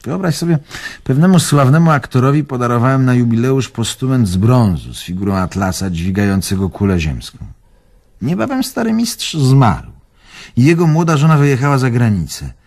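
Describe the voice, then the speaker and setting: An actor playing an old, carefree Polish antique collector, telling stories expressively in a radio drama. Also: male voice, medium pitch, normal speed. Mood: nostalgic. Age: older. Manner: expressive, storytelling, old-world phrasing. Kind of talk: radio drama acting, reminiscing monologue.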